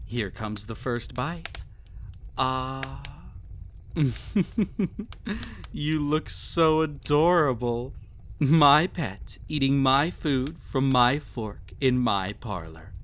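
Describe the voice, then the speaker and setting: A man's voice: medium pitch, a little hiss or hum, close to an everyday voice. A man playing a cruel, possessive emperor with a haughty, silky, condescending manner in a scripted roleplay addressed to the listener. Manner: haughty, silky, condescending, theatrically drawn-out delivery. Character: cruel, possessive, mocking young emperor pampering a captive pet.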